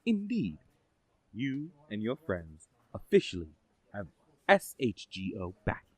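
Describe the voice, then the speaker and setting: A man playing a composed superhero agency official in an audio drama, speaking in a measured, formal and assured way. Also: fairly low pitch, wildly swooping pitch, a little mellow, slightly rough, dry recording, heavily acted. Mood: solemn, assured. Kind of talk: scripted audio drama acting.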